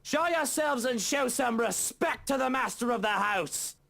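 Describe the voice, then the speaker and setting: A haughty lord of the manor in a visual novel. His voice is raised very high, heavily gravelly and forceful, angry and demanding. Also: lively intonation, measured pace, full character voice. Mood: angry challenge. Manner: imperious, demanding.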